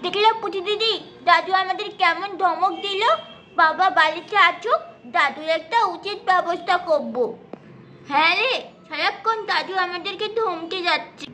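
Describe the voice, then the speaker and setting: Little kid doll, played by a woman: very high-pitched, childish and plaintive, for a puppet cartoon. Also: moderate pace, sharp tone, a little hiss or hum. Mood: sulky, plaintive. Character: small child doll complaining about cross grandfather.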